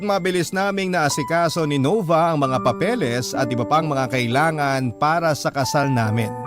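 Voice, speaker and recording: A man's voice: on the high side, close to an everyday voice. Actor as earnest Filipino man narrating, music behind. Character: earnest young newlywed man narrating his love story. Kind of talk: scripted letter narration in radio drama.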